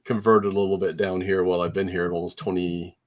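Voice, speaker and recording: A man from Iowa, a guest reseller in a casual chat, easygoing and halting, laughing often. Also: deep voice, flat delivery.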